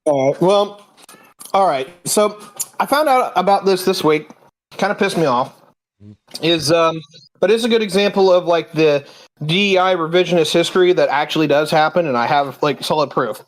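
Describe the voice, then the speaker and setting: A man with a notably high voice, from Indiana, ranting heatedly with plenty of swearing. He talks casually, like he's chatting with friends. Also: moderate pace, rough recording. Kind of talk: casual chat.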